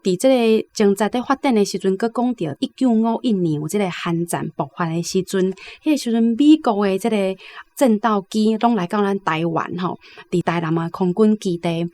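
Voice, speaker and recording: A woman's voice: mid-range voice, moderate pace, a little mellow. A woman with a Taiwanese accent giving conversational commentary on a story as a guest.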